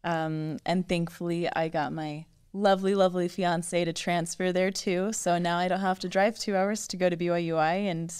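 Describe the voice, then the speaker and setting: Cheerful young woman, smooth low voice. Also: flat delivery, moderate pace, hardly any pauses, a little mellow, no room sound, studio quality. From Virginia, USA. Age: young adult. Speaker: guest. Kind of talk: casual interview. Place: small studio, indoors. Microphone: studio mic on boom arm.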